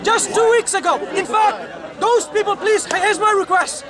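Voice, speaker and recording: A man arguing in a street debate, his voice pitched very high. He presses his point and keeps demanding the floor. A loud crowd surrounds him. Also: measured pace. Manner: insistent, keeps interrupting and demanding to finish. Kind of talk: heated outdoor debate. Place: outdoors in a busy city street.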